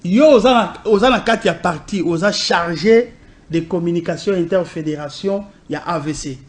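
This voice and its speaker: A man's voice: high voice, moderate pace. A man interviewing a political guest, asking long formal questions with hesitant fillers.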